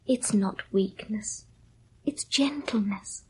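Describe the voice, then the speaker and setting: An actress playing a fragile, wistful young wife in a radio drama, speaking in a hushed, slow, dreamy and insistent way with a breathy voice. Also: female voice, medium pitch, slight background noise, lightly acted, rough recording. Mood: tender, hushed. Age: young adult. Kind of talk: old radio drama acting.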